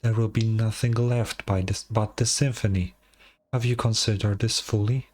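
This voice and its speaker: A man's voice: lowish voice, fairly even intonation, a little mellow, soft background music, lightly acted. Man voicing an ancient, proud dragon lord, grandly formal. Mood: intrigued, regal. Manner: grandiose, formal, deliberate delivery. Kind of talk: dramatic fantasy game dialogue.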